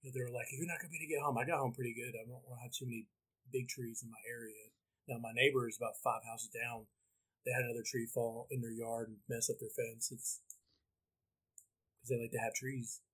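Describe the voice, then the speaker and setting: A man's voice: mid-range voice, fast talker, slightly rough, low-quality recording. A man hosting and producing a podcast, chatting easily and backing his guest with mm-hmms. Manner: flat, easygoing, many mm-hmms. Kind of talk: casual podcast chat.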